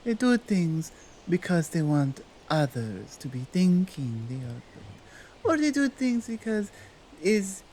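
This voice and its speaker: A woman playing a strong, dominant female Krampus in an ASMR roleplay, speaking in a deliberate, halting way with a Nordic accent. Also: low-pitched, mellow, slight background noise, heavily acted, poor audio. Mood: earnest, deliberate. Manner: slow, deliberate, halting foreign-sounding phrasing. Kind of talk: ASMR roleplay monologue addressed to the listener.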